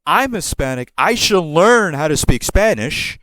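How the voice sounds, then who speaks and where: A podcast host with a New York accent, telling a story in a rambling, profane, animated way. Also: male voice, a little high, unhurried, dry recording. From born in Puerto Rico, raised in the New York area. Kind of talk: casual storytelling.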